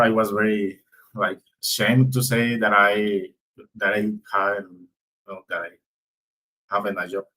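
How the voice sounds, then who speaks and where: Husky low-voiced man, speaking haltingly. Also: fairly bright tone, rough recording. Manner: hesitant, halting, searching for words. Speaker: member of a job-search coaching group. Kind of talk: casual, reflective personal sharing.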